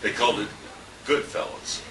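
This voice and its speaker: A man giving an informal tribute speech at a dinner, telling rambling, chuckling anecdotes, picked up by a handheld camera. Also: normal speed. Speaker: radio colleague giving a tribute. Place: restaurant dinner event, indoors. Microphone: handheld compact camera at a distance. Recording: distant handheld camera, roomy, noisy.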